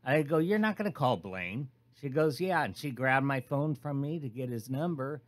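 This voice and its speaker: A man's voice: medium pitch, animated, moderate pace. A man who co-hosts radio, telling a personal story in a candid, casual, conversational way. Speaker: radio co-host.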